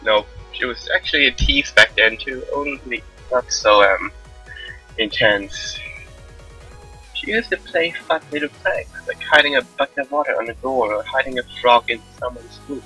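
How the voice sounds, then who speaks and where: Man as a playful village girl, bright sharp voice. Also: medium pitch, normal speed, breathy edge, soft background music, exaggerated voice, low-quality recording. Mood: playful, nostalgic. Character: playful mischievous young village girl, teasing and carefree. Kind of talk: live visual novel read-aloud.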